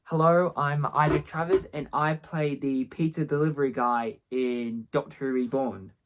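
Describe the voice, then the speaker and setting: A man's voice: medium pitch, fairly even intonation, normal speed, noisy background. A man giving a casual interview as an amateur audio drama cast member.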